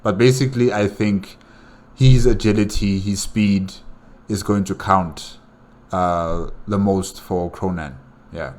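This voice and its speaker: Man, lowish voice, casual reaction host, halting, repeats his phrases. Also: steady tone, a little mellow. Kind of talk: casual unscripted reaction commentary.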